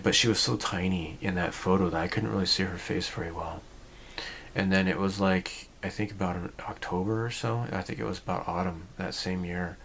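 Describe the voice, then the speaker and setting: A man's voice: sits low, fairly bright tone, a little hiss or hum. A man in his forties talking casually to camera, rambling and reflective, with many fillers and restarts. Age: 40s. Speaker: channel host. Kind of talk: casual personal vlog talk.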